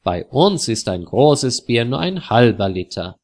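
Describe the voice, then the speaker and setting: Man doing a polite friendly tourist guy, slow and clear. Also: mid-range voice, very animated, darkish tone. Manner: polite, cheerful.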